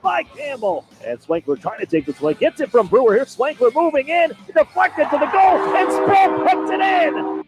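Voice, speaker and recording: A man calling live hockey play-by-play in an excited, shouting style. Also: very high-pitched, moderate pace. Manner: shouting on goal calls. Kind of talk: excited live sports commentary. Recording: noisy arena broadcast clip.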